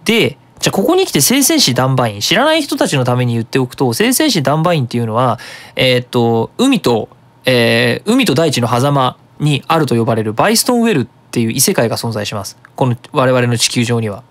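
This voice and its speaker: A man's voice: mid-range voice, very animated, fast, hardly any pauses, fairly bright tone, dry recording. A man hosting a web radio show, talking casually in a chatty, colloquial, explanatory manner.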